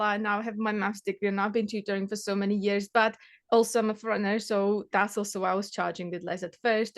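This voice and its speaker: A woman in her thirties from the Czech Republic chats easily about her tutoring work. Her first language is Czech. She talks at a middle pitch, with frequent fillers, restarts and quick laughs. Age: 30s. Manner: chatty, many fillers and restarts, laughs often. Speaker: maths tutor. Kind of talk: casual interview chat.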